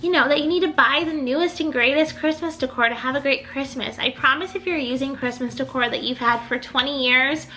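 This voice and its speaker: A woman's voice: sits high, brisk, hardly any pauses, pushed and bright, faint music behind. A young adult woman chatting in a rambling, upbeat way as a home decor vlogger, her voice a little off while she gets over being sick. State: recovering from illness, voice a little off. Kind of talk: casual chatty vlog.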